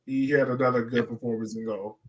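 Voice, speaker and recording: A man's voice: mid-range voice, steady tone, fairly quick. A man hosting a soccer podcast, chatting casually about the game and putting questions to the others. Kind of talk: casual sports discussion.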